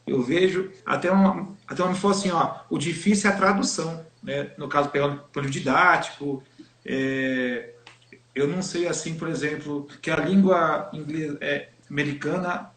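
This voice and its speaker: A man's voice: a little high, normal speed, fairly bright tone, roomy sound. A Brazilian man asking a teacher questions in a live stream conversation, hesitant and searching for words. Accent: Brazilian Portuguese. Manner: hesitant, many fillers and restarts. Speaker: interviewer.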